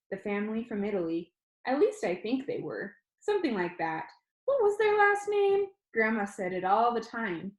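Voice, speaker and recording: A woman reading a children's novel aloud, with gentle, chatty asides to a young listener. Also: medium pitch. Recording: roomy echo.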